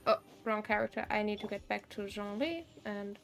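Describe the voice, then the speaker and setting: A woman's voice: medium pitch, normal speed, vocal fry, a bit bright. A woman game streamer giving casual live commentary, thinking aloud in a blunt, wry way.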